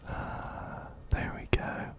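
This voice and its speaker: A man whispering softly and breathily into a close binaural microphone, giving gentle ASMR game commentary and often repeating words rhythmically. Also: drawn-out words. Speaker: ASMR gaming video host. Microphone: close-up binaural microphone, ear to ear.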